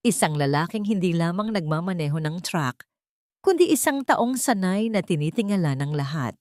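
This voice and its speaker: Filipino lady reading a dramatic story, low voice, dry close sound, measured delivery. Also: animated, a little mellow, dry recording. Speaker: narrator of an audio drama. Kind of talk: scripted dramatic story narration.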